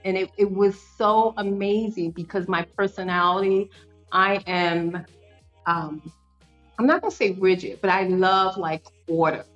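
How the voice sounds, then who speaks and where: A woman's voice: medium pitch, fairly even intonation, unhurried, dull audio, faint music behind. A woman in her fifties, a podcast guest, telling her story in an earnest, conversational way with frequent fillers and restarts. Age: 50s. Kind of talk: conversational interview.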